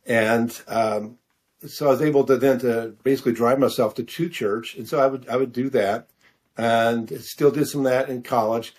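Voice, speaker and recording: A middle-aged American man answering questions in a casual interview, halting and self-deprecating as he searches for his words. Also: mid-range voice, steady tone, darkish tone. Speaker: author interview guest.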